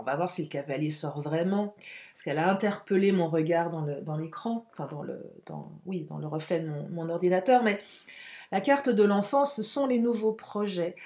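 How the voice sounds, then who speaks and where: A woman doing a tarot reading for her viewers, hoarse and speaking through a stuffed nose, halting often with fillers. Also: mid-range voice, darkish tone, roomy sound. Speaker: tarot card reader.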